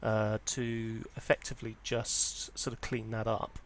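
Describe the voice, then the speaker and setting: A man's voice: lowish voice, flat delivery, measured pace, slight background noise. A man presenting an informal, unscripted walkthrough as a hobbyist, explaining things in a hesitant, hedging way. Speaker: presenter of a vintage audio hobby channel.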